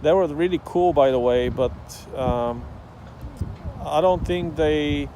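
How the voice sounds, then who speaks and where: A man from Bulgaria, whose first language is Bulgarian, talks slowly and thoughtfully, filling his pauses with "um". His voice is mellow and dark, at a middling pitch. He is recorded outdoors, with faint traffic behind him. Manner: slow, thoughtful, many "um" pauses. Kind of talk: casual talk to camera.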